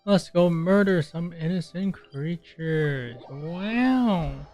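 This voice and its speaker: A man's voice: a little high, extremely slow, darkish tone. A man giving casual commentary while he plays a game, rambling and thinking aloud in a self-deprecating way. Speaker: gamer narrating gameplay. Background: game music.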